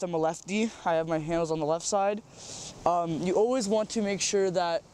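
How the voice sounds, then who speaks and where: High-voiced young man, stuffy from a cold. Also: darkish tone, a little hiss or hum. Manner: rambling, repeats words, says um often. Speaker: fishing tutorial host. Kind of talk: casual how-to demonstration. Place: outdoors by a small farm pond.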